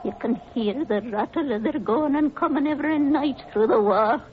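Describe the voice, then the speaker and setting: An actress playing a frightened, superstitious old Scottish housekeeper who talks in hushed tones, in a 1940s radio play. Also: female voice, high voice, moderate pace, close to an everyday voice. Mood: fearful. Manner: superstitious, hushed, dialect-heavy. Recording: dull old radio recording with hiss.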